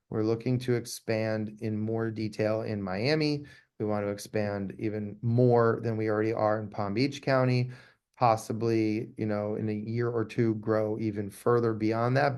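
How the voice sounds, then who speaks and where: A man's voice: fairly low pitch, a little mellow. A man speaking conversationally as an interviewed law firm owner, emphatic and often repeating his key phrases.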